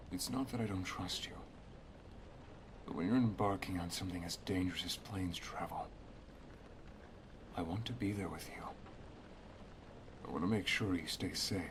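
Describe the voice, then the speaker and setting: A deep, hushed male wizard acted by a man guides an apprentice he loves in an intimate ASMR roleplay. He is protective and gently instructive, often near a whisper. Clear background noise sits behind the voice. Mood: solemn, protective. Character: protective, affectionate male wizard master teaching his apprentice and lover. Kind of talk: ASMR roleplay, intimate second-person monologue.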